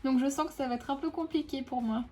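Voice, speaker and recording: A woman's voice: high voice, fairly even intonation, fast talker, a little mellow, soft background music, lightly acted. A woman voicing a thirty-nine-year-old female estate agent in a toy-figure parody, plain and slightly doubtful.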